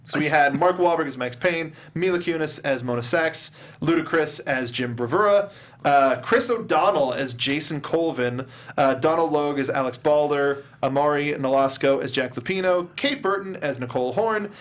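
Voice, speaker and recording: A man with a fairly high voice, joking casually with co-hosts as he hosts a film review panel. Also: normal speed. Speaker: film review show host.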